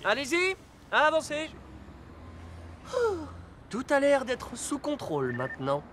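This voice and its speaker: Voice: high-pitched, very animated, slow pace, fairly bright tone, exaggerated voice. An actor voicing a friendly, confident rescue car in a children's cartoon, calling out instructions. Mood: commanding, helpful. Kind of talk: children's animated series dub. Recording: faint background noise.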